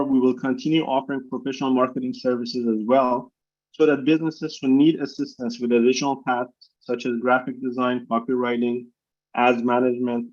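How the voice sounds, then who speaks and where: Slightly muffled, lo-fi conference audio carries a man with a mid-pitched voice. He presents scripted company updates as chief executive, in a formal read-aloud manner. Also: a little mellow. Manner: formal, reading prepared remarks. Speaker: chief executive hosting the call. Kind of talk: scripted corporate presentation on an earnings call. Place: video conference call. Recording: dull, lo-fi conference call audio.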